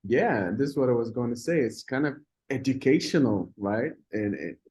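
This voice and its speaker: Man, medium pitch, informal film festival interviewer, halting delivery, searching for words. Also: expressive, darkish tone, slightly rough.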